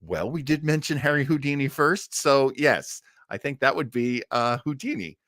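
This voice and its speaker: A man of medium pitch hosts a listening show with casual live commentary. He rambles and pauses over his phrasing. The sound is close and dry. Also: animated, fairly bright tone, no echo. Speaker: host of an old-time radio listening show.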